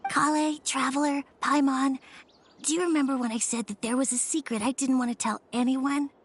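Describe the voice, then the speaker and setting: A woman voicing a gentle young daughter in a video game, soft, hesitant and earnest. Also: high voice, steady tone, a little fast, close to an everyday voice.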